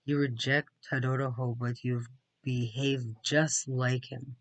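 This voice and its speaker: A man voicing a solemn, reproachful elderly clan mother in a storybook, measured and earnest. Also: medium pitch, flat delivery, no echo, lightly acted.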